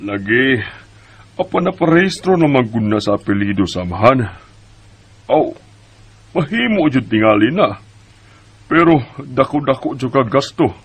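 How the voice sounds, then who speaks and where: An actor playing a practical, cautious father in a radio drama, husky and deliberate as he advises. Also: medium pitch, big pitch swings. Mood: doubtful, advising.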